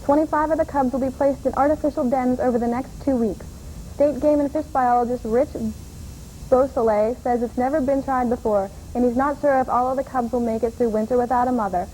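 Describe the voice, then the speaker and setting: A woman anchoring a student television newscast, reading the stories in an even, measured way. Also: high voice, slight background noise, low-quality recording. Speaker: news anchor. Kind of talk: read news bulletin. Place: student TV studio.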